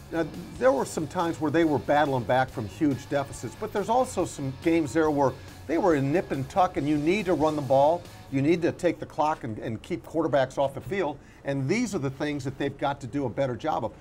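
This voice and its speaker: A man co-hosting a casual sports talk show, analysing in a halting way, searching for words and repeating himself. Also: fairly high pitch, lively intonation, darkish tone, faint music behind.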